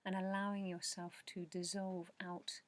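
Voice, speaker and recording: Low, even-voiced woman guiding meditation. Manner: slow, soothing, measured, with long pauses. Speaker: meditation guide. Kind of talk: guided meditation narration, yoga nidra.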